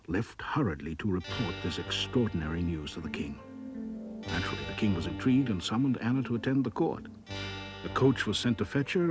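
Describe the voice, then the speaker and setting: A man narrating scripted fairy tales for children in an expressive, dramatic way. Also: low-pitched. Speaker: storyteller, narrator.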